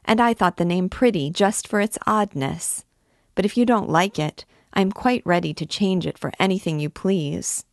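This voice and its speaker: A woman's voice: on the low side, moderate pace, dry recording, pitched above the performer's own voice, close to an everyday voice. A young girl in love, voiced by a woman narrator for a storybook. She is naive and adoring, with a tender, childlike, pleading way of speaking, recorded dry. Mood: hurt, eager. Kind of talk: audiobook character dialogue.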